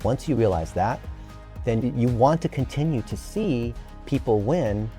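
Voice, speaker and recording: A man's voice: mid-range voice, animated, normal speed, dark tone, soft background music. A man in his early fifties explaining things at length in an enthusiastic, earnest, emphatic conversational way. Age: early 50s.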